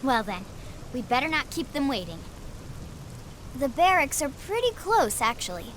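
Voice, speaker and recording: A woman's voice: high voice, very animated, normal speed, slight background noise. A woman playing a perky, chatty young female engineer in a video game cutscene, speaking informally. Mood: playful, proud. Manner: chirpy, playful, informal. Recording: loud music behind.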